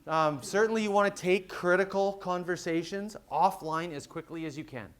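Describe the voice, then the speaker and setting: A man giving a conversational business presentation at a conference, explaining with quick everyday examples. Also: sits high, fairly bright tone. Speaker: conference speaker.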